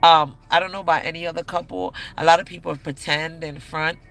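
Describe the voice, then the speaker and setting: A woman's voice: deep voice, steady tone, a little fast. A woman in her thirties giving relationship advice in a blunt, candid, slangy way. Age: 30s. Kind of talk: casual advice talk to camera.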